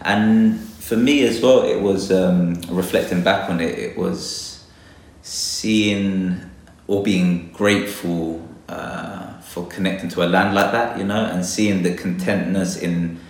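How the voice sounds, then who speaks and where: A man's voice: low-pitched, steady tone, slow pace, heavy reverb. A man from London, a guest botanist, talking reflectively in a casual interview, hesitant with many fillers. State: a little nervous.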